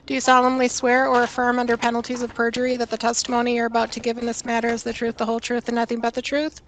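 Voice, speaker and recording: A woman runs a hearing over a video call in a brisk, matter-of-fact way. Her voice sits fairly high but stays level and flat, with little rise or fall. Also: slight background noise, poor audio. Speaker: hearing officer administering the oath. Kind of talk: formal procedural speech.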